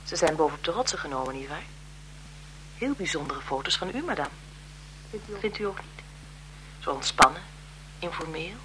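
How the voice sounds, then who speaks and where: An actress playing a frail-seeming, calculating blackmailer in a radio drama, deferential and insinuating in manner. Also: female voice, deep voice, expressive, normal speed, long pauses, sharp tone, rough recording. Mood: sly, insinuating. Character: frail-seeming, calculating female blackmailer, photographer's bereaved sister. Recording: 1970s radio recording with noise.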